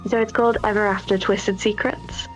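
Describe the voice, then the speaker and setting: A woman's voice: mid-range voice, level tone, brisk. A woman chatting casually as a guest developer, hesitant and rambling in a modest way. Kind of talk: casual interview chat. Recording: slightly dull online call, music behind.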